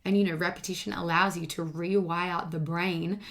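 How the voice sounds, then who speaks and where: Lower-pitched woman giving a motivational podcast talk. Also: fairly even intonation, normal speed, a bit bright. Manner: emphatic, repeats key points, casual swearing. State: voice slightly strained from partly losing it. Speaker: solo podcast host and confidence coach. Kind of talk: motivational solo podcast monologue.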